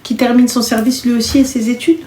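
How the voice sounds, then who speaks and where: A woman's voice: on the high side, level tone, a little fast, dark tone, echoey room. A woman hosting a live call-in chat, giving advice bluntly and familiarly, with slang and terms of endearment. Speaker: live show host giving callers advice.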